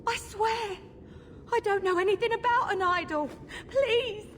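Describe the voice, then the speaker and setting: A woman's voice: very high-pitched, measured pace. A woman playing a terrified, frantic victim pleading for her life in a video game cutscene. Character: terrified, pleading woman trapped in a haunted house. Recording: long hall reverb, faint noise.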